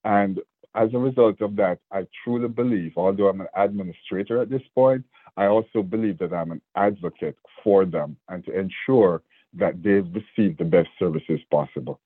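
Jamaican guy in his 60s, very low voice, earnest, sounds like a thin dry phone line. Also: dry recording. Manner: earnest, measured, with restarts. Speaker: state division director. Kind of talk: radio interview.

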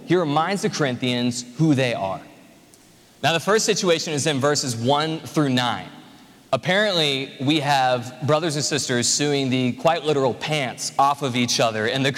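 A man's voice: a little high, a bit bright. A man in his twenties preaching a conversational, joking sermon to a congregation. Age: 20s. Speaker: pastor. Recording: reverberant hall.

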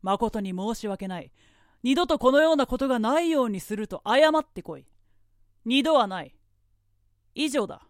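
A woman's voice: a little high, animated, normal speed, pauses often, no room sound, clean studio sound, lightly acted. A woman playing a stern, authoritative merchant and judge in a radio drama, formal, imperious and composed. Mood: stern judging.

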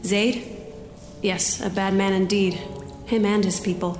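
Actress as tough lady space smuggler, dry and sardonic, mid pitch, audio drama with music under it. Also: fairly even intonation, a little slow, darkish tone, natural voice. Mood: guarded, serious. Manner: sardonic, casual. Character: wry, tough adult female space smuggler.

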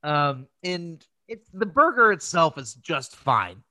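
A man's voice: on the high side, sing-song, slow pace, pushed and bright, no room sound. A man with an American accent chatting casually as a podcast co-host, informal and freely swearing. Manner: informal, profane, conversational. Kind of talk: casual chat.

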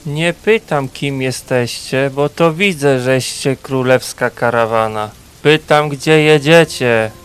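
A man playing a wary, self-assured wandering traveller in a fantasy audio drama, with a measured, dry delivery. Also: medium pitch, clear, resonant tone, lightly acted. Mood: impatient.

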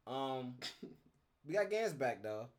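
A man's voice: mid-range voice, big pitch swings, slow, a little mellow. A young man hosting a casual podcast, laid-back and joking with his friends. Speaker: podcast host.

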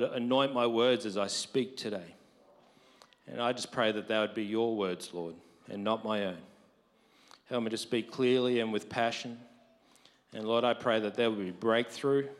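A married man preaching a conversational sermon, his voice deep from lack of sleep, rising to emphatic quotes. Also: moderate pace. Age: adult, married. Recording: long hall reverb.

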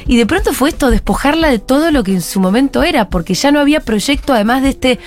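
A woman's voice: high-pitched, animated, quick pace. A woman with an Argentine accent hosting a radio interview. She talks conversationally and reads listener messages aloud. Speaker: radio host.

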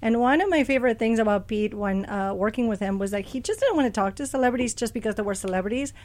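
A woman's voice: fairly high pitch, fast, a little mellow. A woman radio host chatting casually, telling stories with enthusiasm.

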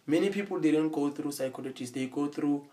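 Man, guest, halting and earnest. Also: a little high, mellow. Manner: halting, repetitive, checks in with "you understand?". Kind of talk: casual conversation.

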